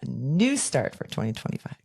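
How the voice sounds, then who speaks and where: Gravelly-voiced woman in lively chat. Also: rumbling low, a little fast. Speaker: podcast co-host. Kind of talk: casual chat.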